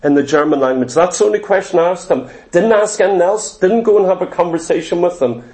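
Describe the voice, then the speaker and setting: A man's voice: high-pitched, fast talker, a little mellow. A middle-aged Irish man preaching a personal testimony, telling his story earnestly in short, clipped phrases and often repeating himself. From Ireland.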